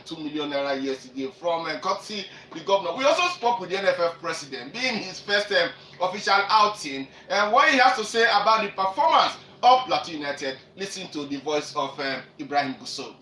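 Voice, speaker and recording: High, bright-voiced Nigerian male radio sports host. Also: expressive, brisk, few pauses. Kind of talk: live sports discussion introducing interview clips. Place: echoey room, radio show. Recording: roomy, distant mic, faint noise.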